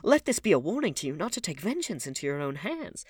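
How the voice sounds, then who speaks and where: Casting: male reader as an imperious young gentleman of a classic novel, raised pitch, animated, commanding and high-strung. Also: quick pace, slightly rough, dry recording, studio quality, full character voice. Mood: stern. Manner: crying out, stern, commanding.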